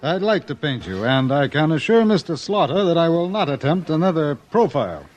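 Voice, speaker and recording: A polite painter fella in an old radio western, kinda high voice, muffled sound. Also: male voice, big pitch swings, a little fast, darkish tone. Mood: gracious. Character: courteous, gracious painter in the Old West. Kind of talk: 1950s radio western acting. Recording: dull old 1950s radio recording.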